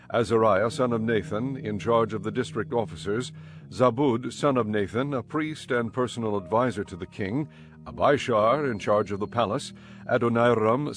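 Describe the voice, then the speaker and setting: A man narrating an audio Bible in a measured, formal storytelling style. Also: mid-range voice.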